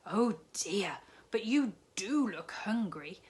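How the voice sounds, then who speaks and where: A woman's voice: mid-range voice, animated, slow pace, pitched above the performer's own voice. A woman doing a jolly big shopkeeper man. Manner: warm, coaxing, fatherly.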